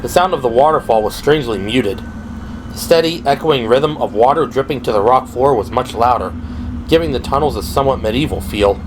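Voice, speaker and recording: A man's voice: mid-range voice, fairly quick. Man narrating audio drama, mid-range voice, scripted delivery, noisy atmospheric effects behind. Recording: clear background noise and ambience. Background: ambient sound effects.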